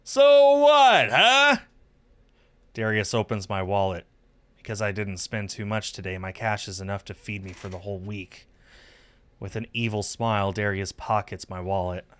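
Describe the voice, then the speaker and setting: A man reading a visual novel aloud as expressive first-person narration on a stream. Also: fairly low pitch, moderate pace.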